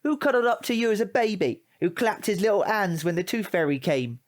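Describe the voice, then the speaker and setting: A man's voice: sits high, lively intonation, a little fast. A British man playing a cheeky, aggrieved teenage boy in a comic audio drama, indignant, slangy and wheedling. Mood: hurt, pleading. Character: cheeky, aggrieved, foul-mouthed male teenage tearaway.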